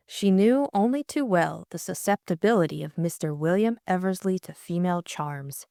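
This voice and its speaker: A woman's voice: fairly low pitch, creaky, darkish tone, no echo, studio quality. A young adult woman co-hosting an audiobook, reading narration and casually discussing the book.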